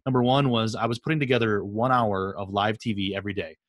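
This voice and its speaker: A man's voice: fairly low pitch, steady tone, fast, no room sound, studio quality. A man hosting a podcast, chatting casually and telling anecdotes with emphatic, repeated phrases. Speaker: podcast host, interviewer.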